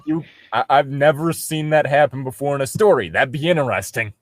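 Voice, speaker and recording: Male co-host, mid-pitched, offhand story critique, close and dry recording. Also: fairly quick, no echo. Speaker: co-host of a fan fiction reading show. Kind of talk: casual critical commentary.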